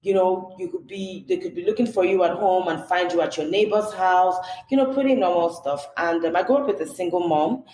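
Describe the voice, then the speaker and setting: A woman's voice: lowish voice, brisk, few pauses, a little mellow. A young Nigerian woman with a Nigerian accent, chatting as a podcast guest, full of "you know" fillers. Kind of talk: casual interview chat. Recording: echoey room with background noise.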